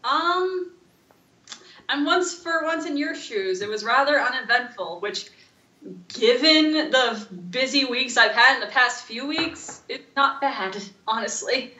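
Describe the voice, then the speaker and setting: Bright-voiced woman chatting in an echoey room. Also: high voice, a little slow. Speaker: podcast co-host. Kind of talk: casual chat.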